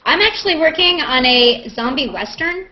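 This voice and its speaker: A woman hosting an online group hangout, chatting casually and informally and reading aloud in an animated way. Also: high-pitched, normal speed, a little hiss or hum. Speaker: host of a video hangout, actress. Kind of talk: casual video-call chat and reading comments aloud. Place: online group video call. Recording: dull, lo-fi video call audio.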